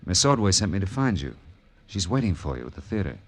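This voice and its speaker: Low-voiced hardboiled detective. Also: male voice, big pitch swings, fast talker, heavily acted. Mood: probing. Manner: terse, slangy. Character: hardboiled, wry male private detective. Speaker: lead and narrator. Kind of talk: 1940s-style radio detective narration and dialogue.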